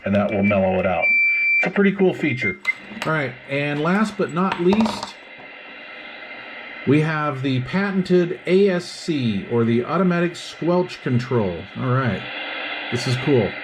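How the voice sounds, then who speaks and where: A man's voice: fairly high pitch, expressive, measured pace, very noisy. A man giving a casual hands-on product review, explaining things step by step.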